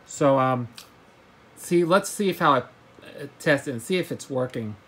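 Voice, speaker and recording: A man giving a casual gadget demonstration as a hobbyist, halting, often repeating words and stumbling as he goes. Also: medium pitch, animated, fairly bright tone, slightly rough. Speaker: hobbyist video presenter.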